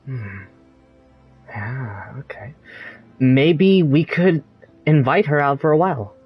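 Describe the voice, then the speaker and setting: Male gamer reading dialogue, dull recording, slow hesitant delivery with swinging pitch, faint music behind. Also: animated, husky. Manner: hesitant, halting. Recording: dull lo-fi mic over game audio.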